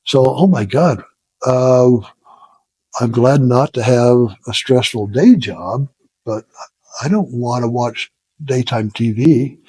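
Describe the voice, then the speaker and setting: Halting older man, dry close sound. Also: medium pitch, warm tone, breathy edge, no echo. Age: 70s. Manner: halting, self-deprecating, chuckles often. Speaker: interview guest, retired business founder. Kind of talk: casual reflective storytelling in an interview.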